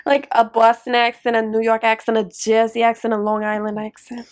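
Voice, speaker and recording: A woman's voice: on the high side, monotone, a little fast. A young woman co-hosting a casual late-night podcast chat, giggling, teasing and swearing freely. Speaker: podcast co-host.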